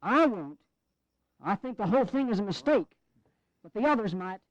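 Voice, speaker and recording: A haughty colonial Southern gentleman in an audio drama. The voice is strikingly high, pompous and oratorical, on a dry, slightly muffled recording. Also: animated, normal speed, dry recording. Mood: grudging. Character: haughty, indignant Southern slaveholding colonial delegate. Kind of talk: historical audio drama acting.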